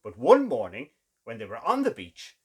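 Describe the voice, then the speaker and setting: A man hosting and reading children's stories aloud in a theatrical, exclamatory way with dramatic pauses. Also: mid-range voice, wildly swooping pitch, noisy background. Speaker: children's story reader, show host. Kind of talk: animated children's storytelling.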